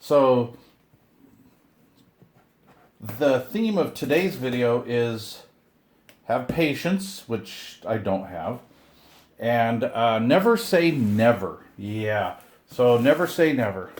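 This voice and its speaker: A man's voice: medium pitch, very animated, slow pace, long pauses, darkish tone. Middle-aged male host for casual unboxing videos; mid-range voice, rambling, chatty delivery with self-deprecating grumbles. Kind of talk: casual unboxing chat to camera.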